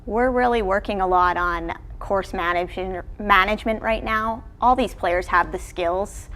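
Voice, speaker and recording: A woman's voice: mid-range voice, normal speed, fairly bright tone. A woman who coaches college sport, answering interview questions in a casual, conversational way. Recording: clearly noisy background ambience.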